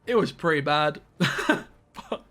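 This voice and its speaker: A man's voice: fairly high pitch, expressive, slow, bright, forceful tone. A young man chatting casually with viewers on a live game stream from his bedroom, rambling and greeting people by name.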